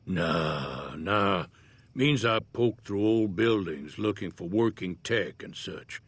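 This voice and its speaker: Old Western prospector, American accent, low-pitched, rough husky voice, laconic folksy delivery, easygoing temper, game dialogue. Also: male voice, animated, takes it slowly, full character voice. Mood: matter-of-fact. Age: older. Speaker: game character, townsfolk prospector. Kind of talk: video game character dialogue.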